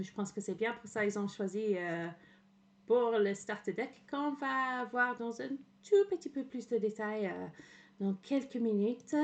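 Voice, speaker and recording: An Englishwoman with an English accent, speaking French as a streamer in casual commentary, hesitant with many fillers and English words slipped in. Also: mid-range voice. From England. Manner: hesitant, frequent "euh" fillers, mixes in English words, laughs often.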